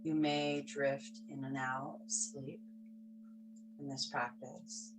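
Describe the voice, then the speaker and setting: Low, soft-spoken woman guiding relaxation. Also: music in the background, rough recording. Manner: slow, soft-spoken, calm instructions in short phrases. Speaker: yoga instructor. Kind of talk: guided yoga nidra meditation.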